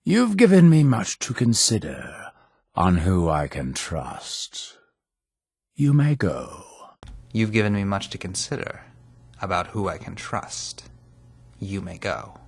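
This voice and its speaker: Gravelly, menacing cult leader. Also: male voice, lowish voice, sing-song, no room sound, clean studio sound, full character voice. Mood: guarded, pensive. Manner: solemn, measured, preacher-like formality. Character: stern, suspicious male cult leader, fatherly yet menacing. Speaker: voice actor in a video game. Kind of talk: scripted video game dialogue.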